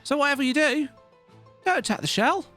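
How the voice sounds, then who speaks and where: A man's voice: extremely high pitch, lively intonation, fairly quick, bright, forceful tone, faint music behind, pitched above the performer's own voice, heavily acted. A man voicing an urgent imperial soldier from a video game, with exclamatory warnings to his comrade. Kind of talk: video game dialogue read aloud.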